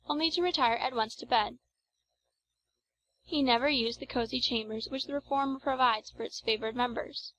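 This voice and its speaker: A woman reading scripted literary narration as an audiobook narrator, in a measured, formal storytelling manner. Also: fairly high pitch, a bit bright, poor audio.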